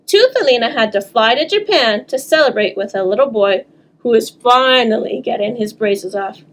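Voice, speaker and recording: A woman voicing an overworked, polite Christmas elf from a storybook, reading his letter in an expressive, deliberate way. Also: fairly high pitch, lightly acted, poor audio. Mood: relieved, polite.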